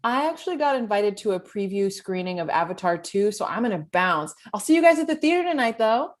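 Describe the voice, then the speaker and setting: A woman acting as a flippant, self-serving young woman in a comedy table read, with breezy deadpan asides. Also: medium pitch, brisk, close to an everyday voice. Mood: flippant, sheepish.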